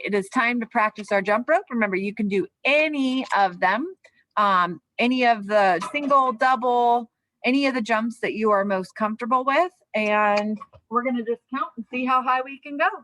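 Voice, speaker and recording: A woman's voice: fairly high pitch, fairly bright tone, a little hiss or hum, low-quality recording. A woman speaking as a children's teacher, reading a storybook aloud and giving simple, encouraging instructions with check-in questions.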